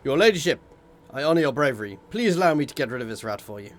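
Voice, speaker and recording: A man with a slightly hoarse voice reading the lines of a zealous male soldier, in a deferential, eager way. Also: mid-range voice, big pitch swings, fast talker, lightly acted.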